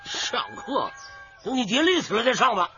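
A man's voice: very high-pitched, very animated, moderate pace, crowd noise, full character voice. A man playing a stubborn peasant father in a radio drama, blunt and earthy. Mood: scolding. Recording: dull lo-fi recording, faint background noise.

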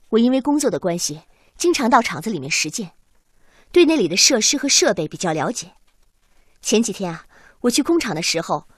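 A woman's voice: on the high side, dry recording, clean studio sound. An actress playing a keen, respectful young woman engineer in a Chinese radio drama, articulate and eager as she explains.

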